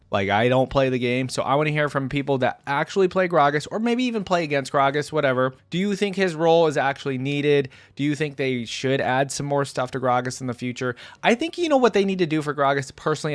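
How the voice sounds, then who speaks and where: A man's voice: mid-range voice, no echo. A man hosting a casual reaction video, chatting to viewers in a rambling, conversational way. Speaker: YouTube reaction host. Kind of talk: casual reaction commentary to camera.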